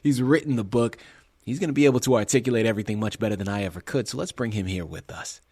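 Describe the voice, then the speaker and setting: A man's voice: on the low side, quick pace, darkish tone, slightly rough, no echo, studio quality. A man hosting a radio interview show, introducing his guest in an enthusiastic, conversational way. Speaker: radio host.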